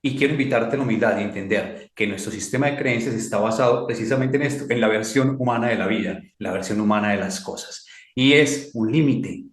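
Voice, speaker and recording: A man from Colombia with a Colombian accent, talking as a mentor in a measured, reflective way. He leans on key phrases and says them twice. His voice has a roomy echo around it. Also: fairly low pitch. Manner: slow, emphatic, repeats key phrases. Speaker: guest speaker, mentor. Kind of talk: reflective talk.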